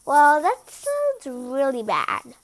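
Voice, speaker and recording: A woman reads a picture book aloud for children. Her voice is high and very lively in pitch, at a slow pace. She drops in chatty asides between the lines of narration.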